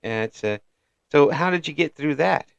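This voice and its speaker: An older man hosting a casual interview, halting and repeating words as he goes, with frequent chuckles. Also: a little high, expressive, vocal fry, dry recording, muffled recording. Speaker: interview host.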